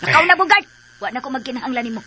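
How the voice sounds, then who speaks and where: A woman's voice: high-pitched, animated, very fast, pushed and bright. An actress playing a distracted, bossy lady of the house with a Filipino accent in a radio drama. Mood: amused, exasperated. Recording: noisy radio drama recording.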